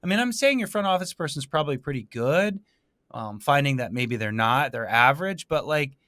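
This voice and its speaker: A man hosting a casual interview, thinking aloud in a halting way and often rephrasing himself. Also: mid-range voice, lively intonation, a bit bright, dry recording, clean studio sound. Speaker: podcast host.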